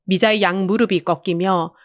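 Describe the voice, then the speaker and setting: A woman's voice: lowish voice, steady tone, moderate pace, no room sound, studio quality. A woman reading a dramatic scripted story as an audiobook narrator.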